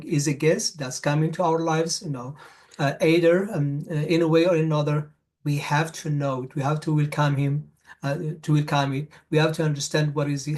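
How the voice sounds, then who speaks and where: A middle-aged man from Morocco, telling his personal story at a conference in an earnest, repetitive, halting way. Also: on the high side. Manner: earnest, repeats himself for emphasis, halting restarts. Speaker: conference speaker. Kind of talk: personal story talk at a summit.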